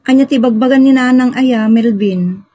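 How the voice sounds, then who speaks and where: A woman's voice: fairly high pitch, normal speed, warm tone, natural voice. A woman with a Filipino accent playing an outspoken, exasperated grown daughter in an audio drama, with an emphatic, scolding manner. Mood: disapproving.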